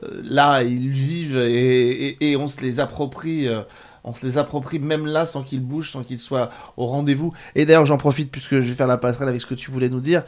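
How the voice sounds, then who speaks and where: A man's voice: mid-range voice, fairly even intonation, brisk. A man hosting a conversational interview, presenting and steering the discussion. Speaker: show host.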